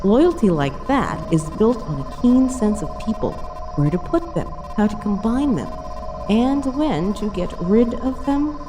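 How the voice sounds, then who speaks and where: A woman's voice: mid-range voice, sing-song, unhurried, over music, rough recording. A woman playing a cool, analytical senior starship officer in a sci-fi audio drama, dry and precise in manner. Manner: dry, precise, matter-of-fact.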